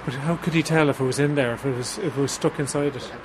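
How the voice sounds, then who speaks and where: A man conducting a casual radio interview outdoors, teasing with quick follow-up questions. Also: mid-range voice, steady tone, noisy background. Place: outdoors among a crowd.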